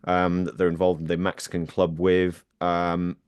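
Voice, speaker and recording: A man's voice: low-pitched, monotone, moderate pace, a little mellow, clean tone, no room sound. A man co-hosting a football podcast, chatty and self-deprecating, reading out a news roundup. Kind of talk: casual podcast chat.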